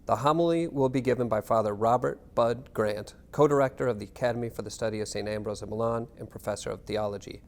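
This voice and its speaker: A man giving a scripted reading of narration and historical text in a measured, formal manner. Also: medium pitch, darkish tone. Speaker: reader.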